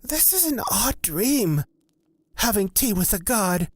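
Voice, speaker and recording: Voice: mid-range voice, very animated, slow, breathy edge, heavily acted. A performer playing a nervous, courteous young cleric and blood witch, talking in a flustered, polite way in a fantasy audio drama.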